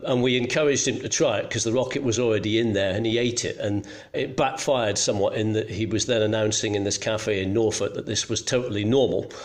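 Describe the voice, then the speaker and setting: A man's voice: on the low side, steady tone, fairly quick, few pauses. A middle-aged man from Scotland, telling anecdotes conversationally as a guest, in a self-deprecating way. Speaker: journalist guest.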